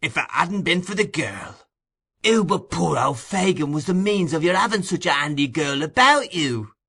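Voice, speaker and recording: A man voicing a sly, fawning old criminal ringleader with a high pleading whine. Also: sing-song, normal speed, creaky, sharp tone, breathy edge, full character voice. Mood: coaxing.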